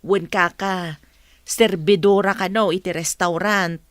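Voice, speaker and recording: A woman's voice: fairly low pitch, fairly even intonation, unhurried, no echo, clean studio sound, natural voice. A woman acting a chatty, sympathetic village neighbour in an Ilocano radio drama.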